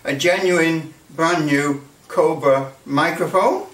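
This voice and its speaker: A man giving a casual hands-on commentary as a hobbyist, halting and muttering a little to himself as he works. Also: fairly high pitch, fairly bright tone. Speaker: hobbyist presenter. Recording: roomy, distant mic with light noise.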